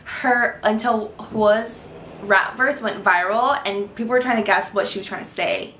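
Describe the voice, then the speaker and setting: Young American woman, bright and echoey. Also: medium pitch, a little slow, a little hiss or hum. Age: young adult. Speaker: podcast co-host. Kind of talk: casual podcast chat. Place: small living room, on a couch. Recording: echoey living room.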